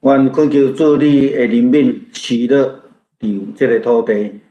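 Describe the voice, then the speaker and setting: A male pastor with a Taiwanese accent leads a prayer in earnest. His voice sits at a medium pitch, and the recording has a clearly roomy echo. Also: a little slow, dull audio. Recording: roomy, echoing sound.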